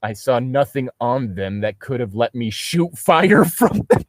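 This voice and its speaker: A man's voice: medium pitch, very animated, a little slow, dry recording, clean studio sound. A man co-hosting a casual comedy reading, reading aloud and breaking off into sarcastic, sweary tangents. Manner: sarcastic, swears freely, goes on mocking tangents. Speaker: co-host reading a fan fiction aloud and mocking it. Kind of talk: casual comedic commentary between read-aloud passages.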